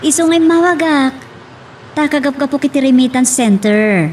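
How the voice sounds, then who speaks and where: A woman acting a caring middle-aged mother who works abroad, speaking with an Ilocano accent in a drawn-out, affectionate way in an audio drama. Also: high voice, lively intonation, darkish tone. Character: caring mother working abroad as a maid, phoning home. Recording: distant mic with faint noise.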